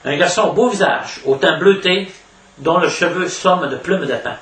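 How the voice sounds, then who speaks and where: A man with a Québécois French accent giving an informal spiritual lecture as a devotional preacher, haltingly, repeating words and adding little question tags. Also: on the high side, a bit bright. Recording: echoey room, distant mic, faint noise.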